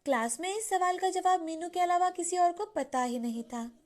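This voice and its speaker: A woman's voice: very high voice, normal speed, a little mellow. A woman narrator telling a scripted moral story for children. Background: background music.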